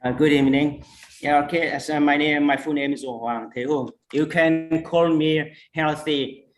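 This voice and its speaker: A man in his forties from Vietnam with a Vietnamese accent, a teacher speaking in short, halting sentences in an online interview. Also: on the high side, steady tone, reverberant. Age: 40s. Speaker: school teacher and award candidate. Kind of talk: self-introduction in an online interview. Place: online video call.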